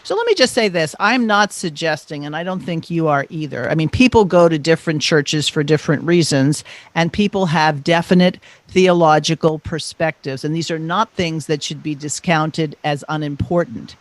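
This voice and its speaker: A man hosting a podcast, speaking in a measured, deliberate and articulate way about matters of faith. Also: fairly high pitch, no room sound. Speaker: podcast host. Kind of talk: measured religious discussion.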